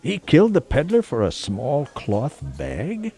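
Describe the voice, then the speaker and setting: A man's voice: a little high, huge pitch swings, measured pace, mellow, heavily acted. An actor playing an easygoing, teasing sidekick to a policeman in a radio play, with an informal delivery. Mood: questioning, matter-of-fact.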